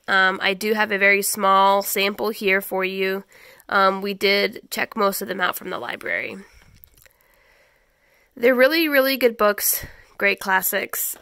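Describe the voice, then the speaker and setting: A woman's voice: medium pitch, sharp tone, smooth, no echo, clean studio sound. A woman in her thirties, stuffy from a head cold, hosting a homeschool channel and casually walking through a stack of children's books, with plenty of ums and restarts. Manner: chatty, many ums and restarts. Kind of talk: casual book review walkthrough. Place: small home schoolroom, indoors.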